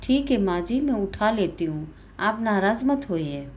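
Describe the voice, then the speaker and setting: A woman's voice: mid-range voice, lively intonation, unhurried, darkish tone, a little hiss or hum, lightly acted. A woman voicing a meek, dutiful young daughter-in-law in a dramatised story, respectful, pleading and easily hurt. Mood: apologetic, pleading.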